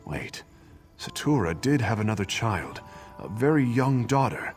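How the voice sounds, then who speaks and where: A man's voice: medium pitch, sing-song. A man acting an earnest, noble leader in a video game, speaking formally and dramatically. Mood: pondering. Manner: formal, dramatic, deliberate phrasing. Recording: game music behind the voice.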